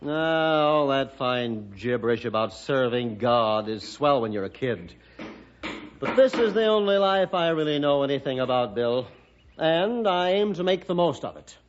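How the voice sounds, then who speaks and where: A man's voice: mid-range voice, lively intonation, a little slow, close to an everyday voice. An actor playing a glib, cynical, money-minded young man in an old radio drama, breezy and dismissive. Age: young adult. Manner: breezy, dismissive, self-satisfied. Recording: dull, noisy old radio recording.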